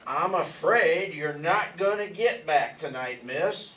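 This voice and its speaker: A man's voice: a little high, expressive, takes it slowly, pushed and bright, full character voice. A man playing a kindly, folksy older farmer with grown children in an old-time radio drama. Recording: roomy, distant mic, faint music behind.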